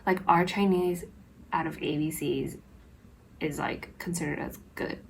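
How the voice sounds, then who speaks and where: A woman's voice: deep voice, steady tone, unhurried, gravelly, a little hiss or hum, poor audio. A young woman co-hosting a casual podcast chat. She talks emphatically, with many fillers. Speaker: podcast co-host.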